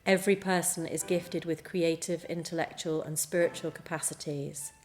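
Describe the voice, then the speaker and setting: A woman's voice: low voice, fairly even intonation, normal speed. A woman who works at a college, giving a promotional talk about it. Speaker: college staff member. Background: music bed.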